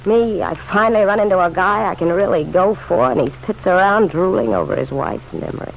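Gravelly, lovelorn city woman. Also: on the low side, fairly quick. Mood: bitter, angry. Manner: blunt, slangy, emotionally insistent. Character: bitter, pleading, lovelorn city woman with hard knocks. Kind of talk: vintage radio drama acting. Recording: dull, noisy old radio recording.

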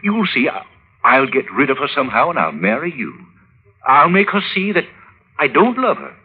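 Husky, pleading gentleman philanderer. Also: medium pitch, sing-song, moderate pace. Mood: pleading, flustered. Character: smooth-talking, pleading married gentleman adulterer. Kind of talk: old-time radio drama acting. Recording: narrow-band vintage radio recording.

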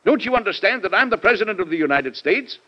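A man's voice: high voice, lively intonation, fast. An actor playing a stern, weary President and exasperated father in an old-time radio drama, speaking formally and reproachfully. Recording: dull lo-fi vintage radio recording.

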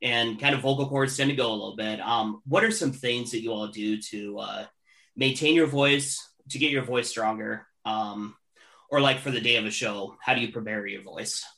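Guy in his late 30s interviewing a band, bright voice, chatty and upbeat questions. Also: mid-range voice, normal speed, gravelly. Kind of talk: casual interview.

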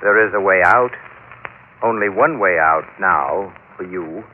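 An actor playing a cold, cruel, manipulative killer, insinuating and persuasive, in a classic radio drama. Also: male voice, deep voice, measured pace. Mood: sinister coaxing. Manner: insinuating, coldly persuasive, sinister. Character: cold, cruel, manipulative murderous male schemer. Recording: tinny, hissy vintage radio recording.

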